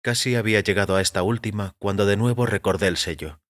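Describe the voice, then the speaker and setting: A man reading scripted first-person fiction as narrator, in a measured, composed manner. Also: on the low side, fairly bright tone, no room sound. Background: music.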